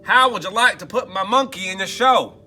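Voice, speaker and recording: Man acting a greedy male huckster, children's storybook, higher bright voice, loud, salesman-like push. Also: moderate pace, soft background music, heavily acted. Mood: pushy, eager. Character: greedy, fast-dealing man in a funny hat.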